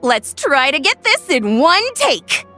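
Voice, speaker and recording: A woman voicing a brisk, commanding young film crew chief who gives crisp orders in a video game. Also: sits high, sing-song, over music, full character voice. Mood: businesslike, eager.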